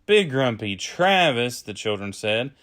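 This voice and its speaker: A man reading a children's story aloud as a storyteller, with deliberate phrasing. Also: medium pitch, sing-song, fairly bright tone. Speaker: storyteller, early childhood educator.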